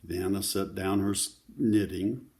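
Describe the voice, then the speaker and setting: A man's voice: deep voice, unhurried, a little mellow. An older man reading a children's book aloud, adding simple conversational asides to explain the pictures. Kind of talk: read-aloud storytelling with informal asides to children.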